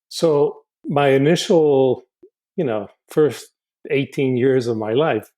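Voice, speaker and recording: An older man from Honduras speaks as a podcast guest with a mid-range voice. He talks in a halting, thoughtful way. He often stumbles and repeats words as he gathers his thoughts. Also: mid-range voice, warm tone. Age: 60s. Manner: halting and reflective, frequent restarts and repeated words. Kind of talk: casual podcast interview.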